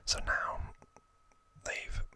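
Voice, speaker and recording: A man whispering an ASMR recap in a breathy, unvoiced voice, explaining things in a hesitant, self-correcting way. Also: extremely slow, bright, forceful tone. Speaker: host of an ASMR trivia channel.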